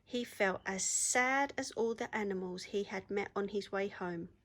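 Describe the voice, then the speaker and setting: A woman reading a children's story aloud in an expressive storytelling manner. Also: mid-range voice, measured pace.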